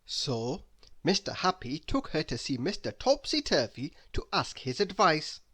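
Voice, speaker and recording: Woman reading a kids bedtime story, low-ish voice, really expressive, takes her time. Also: slightly rough. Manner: expressive, deliberate, dramatic emphasis. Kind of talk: children's read-aloud narration.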